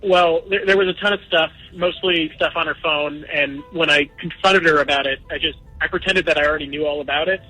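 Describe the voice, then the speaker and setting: A man's voice: fairly high pitch, steady tone, brisk, few pauses, pushed and bright, faint music behind, low-quality recording. A man calling in to a radio show, speaking hesitantly with stammering restarts. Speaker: caller. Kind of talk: telling his story on a radio call-in.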